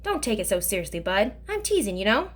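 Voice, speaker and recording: A woman's voice: mid-range voice, big pitch swings, fast. A woman reading aloud voices a kindly, teasing older male driver who talks in a folksy, joking way.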